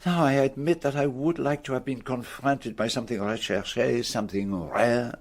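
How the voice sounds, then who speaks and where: An actor playing an ageing, vain Belgian gentleman detective with a French-speaking Belgian accent, precise and theatrical in a radio play. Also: medium pitch, steady tone, normal speed, close to an everyday voice. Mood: wry, relishing. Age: older. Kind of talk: radio drama acting.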